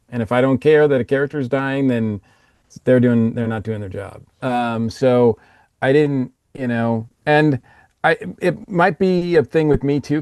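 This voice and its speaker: A man's voice: mid-range voice, a little mellow, dry recording. Male podcast panelist, mid-range voice, casual opinionated reviewing, very dry recording.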